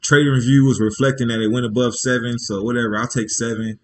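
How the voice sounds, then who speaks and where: A man hosting a laid-back livestream, chatting in loose slang and thinking out loud. He rambles through restarts and keeps checking in with his viewers. His voice sits at an ordinary, middle pitch. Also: fairly even intonation, fairly quick. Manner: loose and slangy, with frequent "you feel me" check-ins. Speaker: livestream host. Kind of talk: casual livestream chat.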